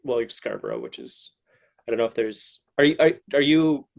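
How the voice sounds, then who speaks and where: A man hosting a casual interview, asking questions in a halting way and often rephrasing them midway. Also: mid-range voice. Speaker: podcast host, interviewer.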